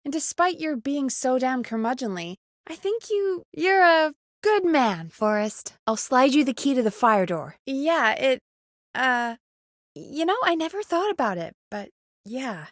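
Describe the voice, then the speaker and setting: A woman playing an earnest, anxious young small-town radio producer in a video game, speaking in a conversational, expressive way. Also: high voice, measured pace, no echo, studio quality. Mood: worried, urgent, then light, chatty. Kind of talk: naturalistic video game voice acting.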